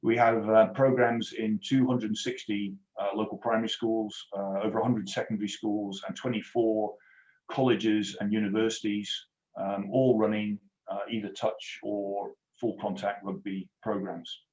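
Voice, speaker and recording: Middle-aged man, faint Scottish accent, lowish voice, dry self-mocking presenter, roomy dull call audio. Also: little pitch movement, moderate pace, a little mellow. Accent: mild Scottish, softened. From Scotland. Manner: self-deprecating, dry humour, many fillers and restarts. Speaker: rugby union executive, webinar guest. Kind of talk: presentation on a webinar. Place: home office. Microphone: headset on a video call.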